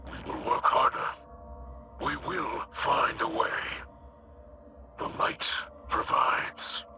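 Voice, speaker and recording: A man voicing a solemn, patient alien leader in a video game, with a breathy, rasping voice and formal, measured phrasing. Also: medium pitch, music in the background, lightly acted. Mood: grave, patient. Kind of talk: video game cutscene acting.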